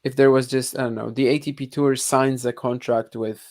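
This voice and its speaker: A man's voice: mid-range voice, flat delivery, normal speed, a little mellow. A man from Poland, co-hosting a casual podcast with hesitant, filler-heavy talk. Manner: hesitant, lots of fillers and restarts, laughs a bit. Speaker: podcast co-host. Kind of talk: casual chat.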